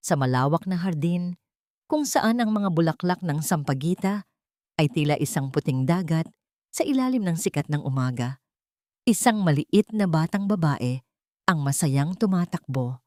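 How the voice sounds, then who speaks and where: A woman's voice: on the low side, a little mellow, no echo, studio quality. A woman with a Filipino accent reading a scripted dramatic story as an audiobook narrator.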